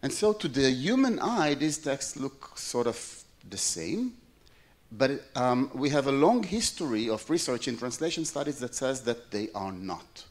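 A man's voice: mid-range voice, sing-song, a little slow. A middle-aged man whose first language is Hebrew, giving a plenary conference lecture in a large hall, with many fillers and restarts. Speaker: plenary speaker.

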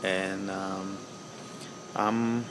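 A man in his thirties from El Salvador talks slowly into a close webcam, his voice sitting on the low side. He pauses often, filling the gaps with ums and uhs. An air conditioner hum and outdoor noise stay clearly audible behind him. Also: flat delivery. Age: 30s. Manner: halting, full of ums and uhs. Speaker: pipe-smoking video blogger. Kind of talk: casual video reply. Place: outdoors beside a house. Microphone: webcam at close range.

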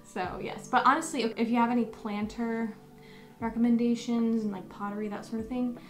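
A woman's voice: fairly high pitch, steady tone, brisk, roomy sound. A young woman in her twenties chatting casually about her houseplants, gushing and laughing often, recorded at home. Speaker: plant vlogger. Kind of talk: casual vlog chat. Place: bedroom with houseplants, indoors. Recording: background music under voice.

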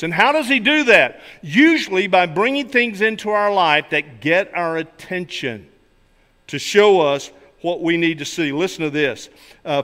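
An older man, a pastor delivering a sermon in an emphatic, deliberate way, speaking from a church pulpit. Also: sits high, sharp tone. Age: older, 60s or 70s. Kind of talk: sermon with illustrations and scripture readings. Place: large church sanctuary, at a wooden pulpit. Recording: reverberant hall sound.

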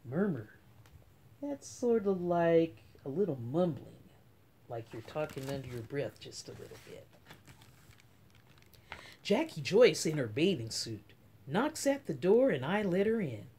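A middle-aged woman reading a children's picture book aloud as story time host, with dramatic, drawn-out emphasis. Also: sits low, sing-song, mellow, breathy edge. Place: small room at home.

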